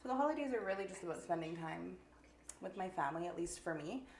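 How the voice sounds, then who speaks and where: A woman in her twenties co-hosting a casual chat, relaxed and rambling with lots of fillers. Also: lowish voice, fast talker. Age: 20s. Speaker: co-host. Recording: echoey room with faint noise.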